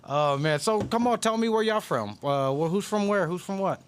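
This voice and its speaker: A man's voice: sits high, expressive, moderate pace, slight background noise. A man chatting casually on a podcast, telling jokes and laughing often in loose slang. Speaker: comedian guest.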